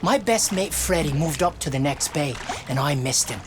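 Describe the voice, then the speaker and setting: Woman as an animated young Newfoundland soldier lad. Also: low voice, normal speed. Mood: earnest storytelling. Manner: animated folksy storyteller. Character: timid, earnest young male soldier telling stories. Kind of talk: dramatic game dialogue and storytelling. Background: music.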